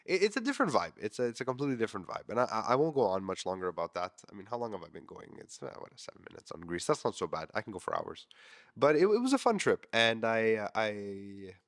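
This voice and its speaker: A man's voice: fairly low pitch, very animated, fast talker, a bit bright. A man in his thirties with a congested, nasal voice, hosting his podcast in a candid, rambling monologue that swears freely. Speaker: podcast host. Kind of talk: casual monologue.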